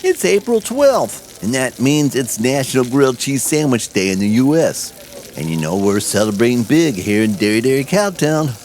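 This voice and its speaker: A man voicing an excitable male diner cook who is loud and jokey, pitching food in a whooping, folksy way. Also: normal speed, slight background noise, natural voice, rough recording. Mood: excited.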